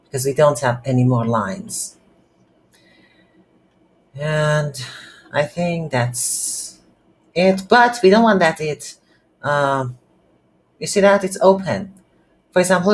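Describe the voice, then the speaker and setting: Halting, slow-paced non-native coding instructor. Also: medium pitch, slight background noise. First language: not English. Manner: halting, thinking aloud while typing. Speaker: programming tutorial instructor. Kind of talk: screen-recorded coding tutorial, unscripted explanation. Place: indoors at a computer.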